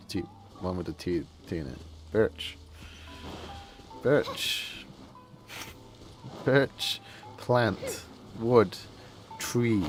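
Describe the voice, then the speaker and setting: Male streamer, low pitch, unhurried, laid-back, rambling gameplay commentary, faint game music. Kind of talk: casual live gameplay commentary.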